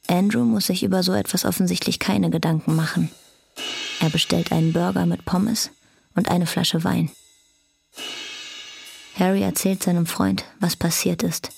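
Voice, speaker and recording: Low, creaky, even-toned woman narrator. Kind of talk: scripted radio drama narration.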